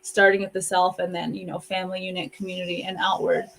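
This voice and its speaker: A woman's voice: fairly low pitch, little pitch movement, fast talker, gravelly, fairly bright tone. A woman hosting in a casual, reflective conversational style, speaking over an online video call. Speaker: host and co-author.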